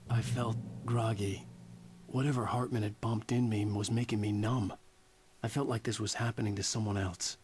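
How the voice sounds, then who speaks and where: A man's voice: lowish voice, steady tone, moderate pace, a little mellow, slight background noise, close to an everyday voice. Man acting a brooding, sardonic captive writer. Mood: brooding narration. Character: defiant, sarcastic male writer held as a patient. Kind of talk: video game acting and first-person narration.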